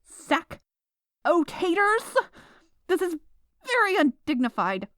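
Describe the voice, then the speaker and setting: High-voiced, stubborn sleepy writer girlfriend, acted by a woman. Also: very animated, takes it slowly, a bit bright, dry recording, studio quality, exaggerated voice. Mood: indignant, pleading. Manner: dramatic, indignant, rambling, exclamatory. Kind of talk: ASMR roleplay acting.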